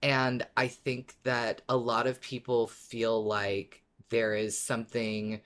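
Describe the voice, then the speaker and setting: A podcast host talking casually and reflectively, weighing both sides in a measured way. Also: mid-range voice, level tone, a bit bright.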